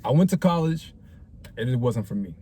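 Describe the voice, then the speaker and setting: A man's voice: medium pitch, lively intonation, normal speed, mellow, slight background noise. A man in his twenties talking casually to camera in a rambling, reflective way, full of slang and fillers. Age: 20s. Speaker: vlogger. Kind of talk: casual talking-head vlog.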